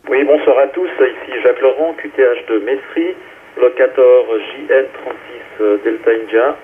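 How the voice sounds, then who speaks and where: A man's voice: mid-range voice, normal speed. A man checking in and signing over as an amateur radio operator on two-way radio. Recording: tinny ham radio link, faint noise.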